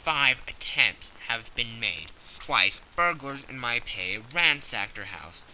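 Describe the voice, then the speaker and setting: Proud thirty-year-old king played by a male reader, agitated, formal, emphatic, very sharp bright tone, storybook drama. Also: medium pitch, expressive, slow, slight background noise, exaggerated voice, poor audio. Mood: grave, insistent.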